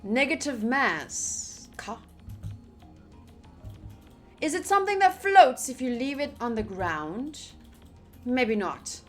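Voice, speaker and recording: A woman's voice: fairly high pitch, very animated, slow pace, pauses often, soft background music. A woman chatting casually on a livestream as she plays and reads along with a game, in a rambling, playful way. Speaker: streamer.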